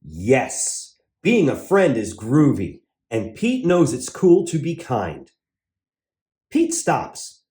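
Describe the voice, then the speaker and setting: A man's voice: medium pitch, huge pitch swings, slow pace, dark tone. A man hosting a children's read-aloud, exuberant and exclamatory, drawing words out for emphasis.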